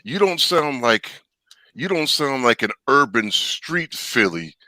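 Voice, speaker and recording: A man's voice: mid-range voice, big pitch swings, slow pace, pushed and bright, no room sound. A man speaking bluntly in casual online chat, stumbling over repeated words.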